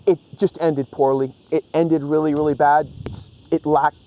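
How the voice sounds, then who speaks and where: Man casually reviewing, slightly high voice. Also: flat delivery, moderate pace, warm tone, dull audio. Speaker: book reviewer and host. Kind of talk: casual, opinionated review talk.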